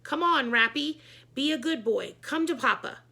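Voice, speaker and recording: An upbeat male principal from a children's storybook, acted out by a woman. He is placating and coaxing, in a raised, bright voice with some creak. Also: high voice, lively intonation, normal speed, voice pushed up, full character voice. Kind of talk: children's book read-aloud.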